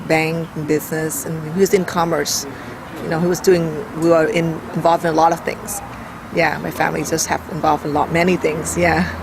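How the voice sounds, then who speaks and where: A middle-aged speaker from Vietnam rambles through family memories, thinking aloud as they go. The voice has a gravelly, fry-edged texture. Clear background noise and traffic sit behind the speech. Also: medium pitch. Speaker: interviewee. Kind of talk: oral history interview.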